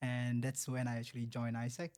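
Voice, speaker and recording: A young man from Malaysia talks as a guest, often laughing and hesitating with ums. His voice sits at a middling pitch. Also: completely flat delivery, fairly quick, darkish tone, smooth. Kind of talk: casual chat.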